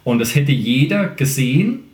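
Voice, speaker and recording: A man's voice: medium pitch, big pitch swings, normal speed, a little mellow. A man hosting a casual podcast chat, explaining like a singing teacher in colloquial, joking language. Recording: roomy, echoey room sound.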